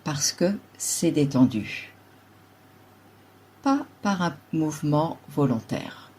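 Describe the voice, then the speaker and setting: A woman guides a relaxation meditation as a yoga nidra teacher, giving calm, measured instructions in short phrases. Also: low-pitched, a little hiss or hum.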